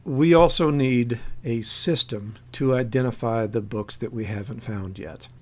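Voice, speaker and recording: A man's voice: mid-range voice, measured pace. An American man giving critical spoken commentary in an emphatic, insistent, argumentative way. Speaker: activist commentator.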